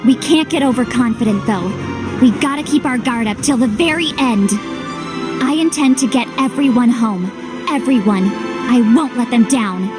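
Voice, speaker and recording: An actress playing an earnest, determined young heroine in a video game cutscene, speaking emphatically over music. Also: female voice, fairly high pitch, moderate pace, lightly acted. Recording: loud music behind.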